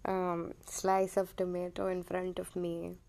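Slow, hesitant Indian-accented woman. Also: on the low side, monotone, gravelly, darkish tone. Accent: Indian English, drifting toward American. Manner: hesitant, many ums and self-corrections. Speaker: podcast host. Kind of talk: casual personal monologue.

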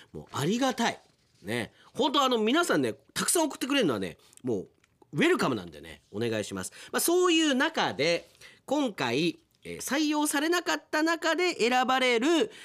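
A man hosting a radio aftertalk, reading listener mail in casual chat and reacting with amused asides. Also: a little high. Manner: animated, reacts with amused asides to what is read.

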